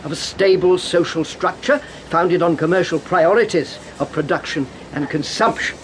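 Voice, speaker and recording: A man's voice: a little high, normal speed, a little hiss or hum, close to an everyday voice, poor audio. A man acting in a radio drama as an authoritative director, lecturing in a proud, didactic, declamatory way. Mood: smug lecturing. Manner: declamatory, didactic, self-satisfied. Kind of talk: full-cast radio drama, lecture-like delivery.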